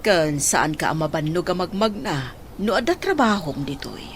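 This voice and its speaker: A woman's voice: on the low side, very animated, normal speed, a little hiss or hum. A woman playing a kindly, inquisitive lady of the house in a radio drama, concerned and generous. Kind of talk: Philippine radio drama acting.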